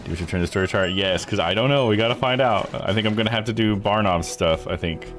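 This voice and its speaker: A man's voice: on the low side, fast talker, music in the background. A man giving casual live let's-play commentary on a visual novel, thinking aloud as he plays. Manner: thinks aloud, stumbles over unfamiliar words. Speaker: streamer playing and reading a visual novel.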